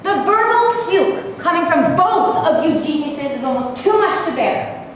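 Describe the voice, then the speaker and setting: A woman's voice: extremely high pitch, big pitch swings, measured pace, heavily acted. A woman playing a sarcastic, exasperated needler in a staged play reading, with a mocking delivery. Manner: sardonic, mocking. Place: live staged reading in a reverberant room. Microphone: distant microphone. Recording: echoey hall from far off, noisy.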